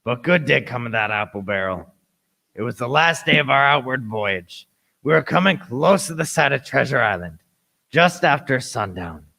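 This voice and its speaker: A cabin boy narrator played by a man tells a sea adventure for radio. The voice is forceful and bright, with a creaky edge at medium pitch. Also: animated, normal speed, heavily acted. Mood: storytelling. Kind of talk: scripted dramatic narration.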